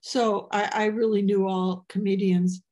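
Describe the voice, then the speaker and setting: A woman comedian talking as an interview guest in a playful, halting way, with many restarts. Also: mid-range voice, little pitch movement, a little mellow. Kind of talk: casual interview chat.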